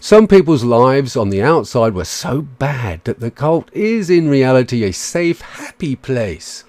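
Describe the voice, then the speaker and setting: Male commentator, mid-pitched, reading with sardonic asides in a dry acoustic. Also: very animated, a little slow, a little mellow, no room sound.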